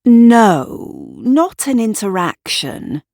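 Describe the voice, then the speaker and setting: A woman's voice: fairly high pitch, sing-song, extremely slow, darkish tone, no room sound, clean studio sound, pitched above the performer's own voice, heavily acted. A woman voicing a self-assured, nosy neighbour who gives an emphatic witness account in an audio drama. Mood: certain, defensive.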